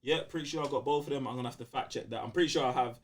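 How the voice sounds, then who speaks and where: A man's voice: medium pitch, rapid-fire. A London-accented man co-hosting a gaming podcast with slangy, emphatic banter, often repeating his phrases. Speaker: podcast co-host.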